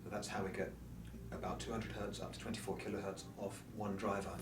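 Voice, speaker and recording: Low-voiced man presenting in a roomy space. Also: level tone, brisk, very noisy. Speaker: loudspeaker exhibitor presenting products. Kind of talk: informal technical product presentation. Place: room at an audio show. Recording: roomy, distant mic, music behind.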